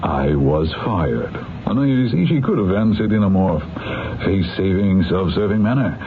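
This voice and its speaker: A shrewd defense attorney in a 1970s radio mystery. He is a deep-voiced, candid man with lively, wry delivery. The sound is tinny and noisy. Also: normal speed. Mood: explaining, knowing.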